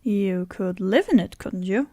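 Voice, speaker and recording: A woman's voice: medium pitch, expressive, measured pace, warm tone, no echo, studio quality. A woman playing an earnest, scheming friend who narrates an audio drama tale. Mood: consoling. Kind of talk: audio drama dialogue and first-person narration.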